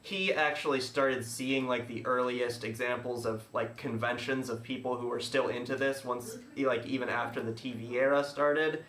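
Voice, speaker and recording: A male podcast host chatting casually, explaining things in a rambling, halting way with frequent self-interruptions. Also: medium pitch, fairly even intonation, a bit bright. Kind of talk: casual chat. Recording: roomy, echoey room.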